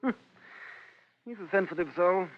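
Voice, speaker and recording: An actor playing a breezy, teasing upper-class British older brother in a 1950s radio drama, with a jaunty, clipped manner. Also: male voice, high-pitched, normal speed, lightly acted. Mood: apologetic, concerned. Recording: muffled vintage radio recording.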